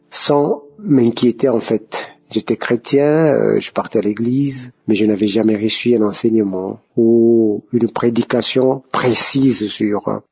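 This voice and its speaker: A man's voice: medium pitch, darkish tone, slightly rough, highs cut off. A man giving an earnest, hesitant religious testimony. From Republic of the Congo. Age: 50s.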